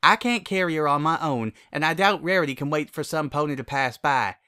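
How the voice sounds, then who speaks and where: A man's voice: fairly high pitch, brisk, sharp tone, clear, resonant tone, voice pushed up. A man voicing a plain-spoken, practical country farm mare, caring and firm, with a folksy, blunt manner. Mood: grave, urgent.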